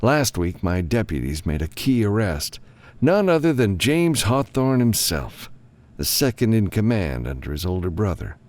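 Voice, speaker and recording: A man's voice: fairly low pitch, sing-song, normal speed, darkish tone, pitched above the performer's own voice. Man voicing a grim government lawman, swooping emphatic delivery. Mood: businesslike, firm. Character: tense, grim government lawman, commanding and frank.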